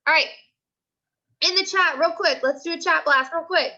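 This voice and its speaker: Woman, high-pitched, bright forceful tone, online class teacher, question-and-prompt delivery. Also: moderate pace, highs cut off. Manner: prompting with repeated questions and short commands. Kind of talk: live online class discussion.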